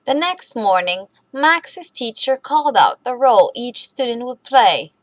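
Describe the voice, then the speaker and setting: A woman reading a children's bedtime story aloud in an expressive, deliberate way for young listeners. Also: a little high, no echo.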